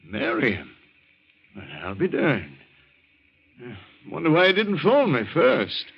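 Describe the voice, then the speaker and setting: A man's voice: on the high side, wildly swooping pitch, unhurried, vocal fry, husky, heavily acted. An American actor playing a folksy, worried, helpful country man in an old-time radio drama, plain-spoken. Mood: puzzled, sympathetic. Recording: muffled, noisy vintage radio recording.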